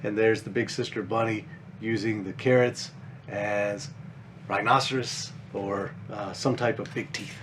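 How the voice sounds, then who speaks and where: A man's voice: on the low side, steady tone, unhurried, a bit bright, a little hiss or hum. A man reading his own children's picture book at storytime, explaining the pictures simply as if to young children.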